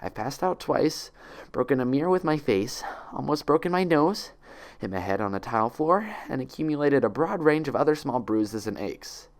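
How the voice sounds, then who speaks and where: A man's voice: medium pitch, lively intonation, moderate pace, pitched above the performer's own voice, lightly acted. A man voicing a young female unicorn patient in an audiobook-style story, dry, sarcastic and anxious. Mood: despairing, anxious. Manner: dry, sarcastic, self-pitying.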